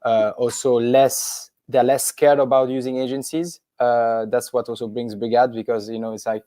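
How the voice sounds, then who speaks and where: A man's voice: mid-range voice, level tone, normal speed, mellow, no echo. A man from Switzerland, a business co-founder, sharing rambling anecdotes in a webinar discussion.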